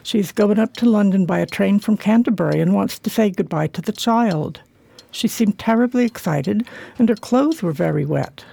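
A woman playing a humble village childminder, telling things in a folksy, deliberate way in a radio play. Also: mid-range voice, gravelly, dark tone, dry recording.

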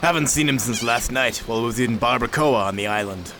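An actor playing a rough pirate deckhand with rustic, ungrammatical speech in an audio drama. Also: male voice, medium pitch, a little fast, background noise, lightly acted. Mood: matter-of-fact.

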